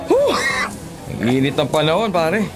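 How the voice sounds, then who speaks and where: A man's voice: a little high, huge pitch swings, moderate pace, loud music behind the voice. A man acting a teasing, streetwise market butcher in a Filipino radio drama, with colloquial, knowing banter.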